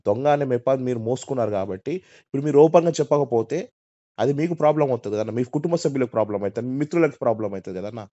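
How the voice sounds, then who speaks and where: A man's voice: mid-range voice, animated, rapid-fire, dark tone, no echo. A man conducting a call interview, pressing with probing, persistent questions. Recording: dull lo-fi call recording.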